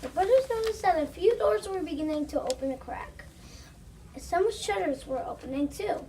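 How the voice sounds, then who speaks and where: High young narrator, slightly far from mic. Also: expressive, moderate pace, dark tone. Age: child. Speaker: student narrator. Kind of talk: scripted story narration. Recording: distant mic, noisy room ambience.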